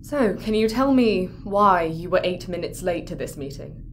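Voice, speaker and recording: An actress playing a patient, formal crew psychologist, measured and professional, in an audio drama. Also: female voice, on the low side, animated, a little hiss or hum. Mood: patient, probing.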